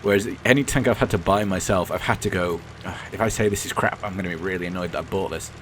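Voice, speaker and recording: A man with a croaky, hoarse voice, giving rambling, blunt gameplay commentary and often correcting himself. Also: sits low, fast, a little hiss or hum. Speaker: gaming commentator. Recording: game sounds clearly behind the voice.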